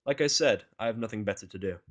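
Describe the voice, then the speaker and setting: A man with a fairly low voice reads first-person fan fiction as a narrator. His delivery is scripted but casual, with a grumbling, sardonic edge. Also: quick pace.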